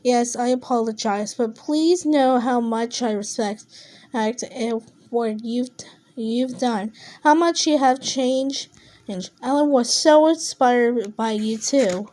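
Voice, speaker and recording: An ancient, solemn storybook creature read by a woman. It speaks slowly in a high voice, making grave, measured pronouncements with halting breaks. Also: darkish tone. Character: ancient, solemn watcher disguised as a Tasmanian devil.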